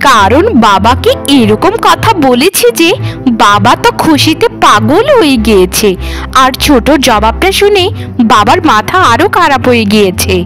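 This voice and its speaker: Woman acting a high, expressive young daughter. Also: moderate pace, soft background music. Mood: smug. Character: earnest young daughter of a wealthy landlord. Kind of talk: dramatic cartoon voice acting.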